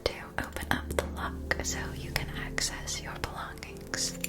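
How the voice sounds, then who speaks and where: A woman performing a whispered ASMR roleplay as a magic shopkeeper, speaking in a deliberate, softly enunciated way. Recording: loud music behind the whisper. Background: music.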